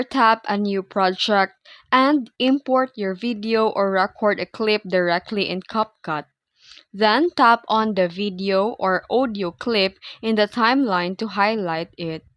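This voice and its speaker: A woman reads a scripted how-to tutorial at a slow, deliberate pace. Her voice sits at a medium pitch, and she explains each step in a clear, instructional way. The sound is very dry, with no room echo. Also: a bit bright. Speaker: tutorial presenter.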